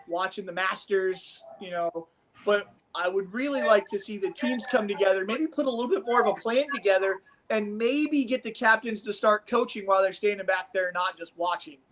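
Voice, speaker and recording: High-voiced enthusiastic martial arts commentator. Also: male voice, moderate pace, fairly bright tone, rough recording. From Omaha, Nebraska. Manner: enthusiastic, rambling, coach-like. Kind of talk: casual sports commentary.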